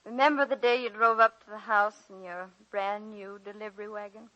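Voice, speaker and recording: An actress playing a warm, eager small-town schoolteacher in a 1941 radio drama. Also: female voice, mid-range voice, fairly even intonation, brisk, highs cut off, natural voice. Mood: nostalgic. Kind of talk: 1940s radio drama acting. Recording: 1941 radio broadcast recording.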